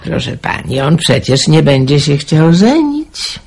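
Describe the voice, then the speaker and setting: Fretful older mother, low creaky voice. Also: sing-song, unhurried, noisy background, exaggerated voice. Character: anxious older mother fretting over her daughter. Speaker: radio actress. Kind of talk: 1990s radio drama acting.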